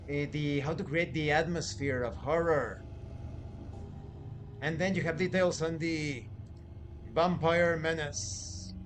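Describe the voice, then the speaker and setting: A man giving an informal spoken review as a show host, explaining in a halting way with conversational asides. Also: on the high side, slight background noise. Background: background music.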